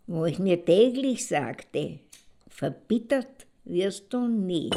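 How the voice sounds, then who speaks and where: An actress playing a reflective middle-aged woman looking back on her marriage in a halting, musing audio drama monologue. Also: on the low side, creaky, mellow, full character voice. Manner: halting, musing, pausing to think.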